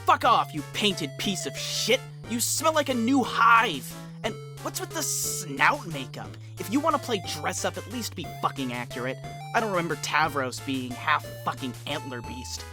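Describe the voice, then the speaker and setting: A woman's voice: fairly low pitch, big pitch swings, normal speed, music in the background. A woman voicing an irritable, foul-mouthed young male troll in a comic fan dub, abrasive and exasperated. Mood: furious.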